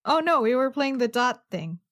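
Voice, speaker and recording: A woman's voice: high voice, sing-song, normal speed, a bit bright. A woman chatting casually and playfully as a tabletop game player, poking fun at herself. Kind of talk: casual chat.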